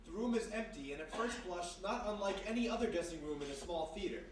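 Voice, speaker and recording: A man acting as the measured, dramatic narrator of a live old-time radio mystery. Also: high-pitched, expressive. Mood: melancholy, hushed. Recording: very echoey live hall, distant mic, faint noise.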